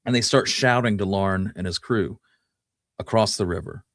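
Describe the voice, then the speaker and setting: Male podcast host, slightly low voice, folksy and conversational history storytelling, very dry sound. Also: moderate pace, fairly bright tone, dry recording. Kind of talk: casual storytelling, Wild West history talk.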